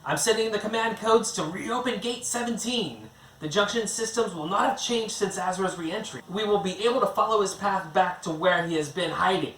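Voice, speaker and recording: Man putting on a high, hissing villainess commander. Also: normal speed, hardly any pauses, fairly bright tone, echoey room, recorded from a distance, rough recording. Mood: cold, commanding. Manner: urgent, commanding. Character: commanding hissing female villain giving urgent military orders.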